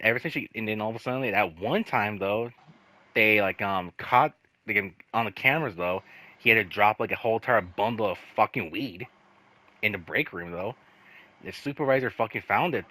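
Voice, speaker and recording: A man telling casual stories in a rambling, halting way, swearing freely and leaning on repeated filler phrases. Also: fairly low pitch, sharp tone, no echo. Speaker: host telling personal work stories. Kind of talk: casual storytelling chat.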